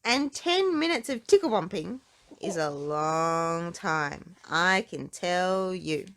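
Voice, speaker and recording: A woman reading a children's book aloud in an expressive storytelling style, drawing out words for emphasis. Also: on the low side, takes it slowly.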